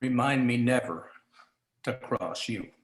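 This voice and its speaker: A man's voice: mid-range voice, slow pace, natural voice. A man playing a crooked police chief in a noir radio play, with a clipped, businesslike manner. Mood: conspiratorial. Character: crooked police chief, curt and smooth-talking schemer. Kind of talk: 1940s noir radio acting, live community theatre. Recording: roomy, echoing live stage recording.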